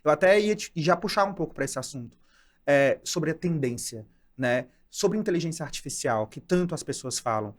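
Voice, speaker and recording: A man's voice: a little high, lively intonation, normal speed. A man from Ceará with a Northeastern Brazilian accent, hosting an interview in a casual, chatty way and often adding "né?". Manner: chatty, thinks aloud with restarts and "né?" tags. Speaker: podcast host.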